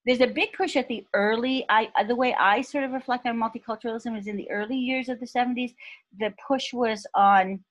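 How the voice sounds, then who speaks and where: Thoughtful woman in her fifties. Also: medium pitch. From Pakistan. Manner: thoughtful, measured, with soft laughs. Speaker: guest. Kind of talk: reflective interview talk.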